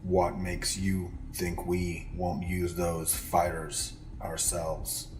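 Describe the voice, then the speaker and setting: A man voicing a grim, impassive male alien leader in an audiobook, speaking slowly and heavily in short, clipped lines. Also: deep voice, level tone, slight background noise, pitched below the performer's own voice, low-quality recording. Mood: cold. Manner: slow, heavy, clipped and impassive. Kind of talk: audiobook character voice.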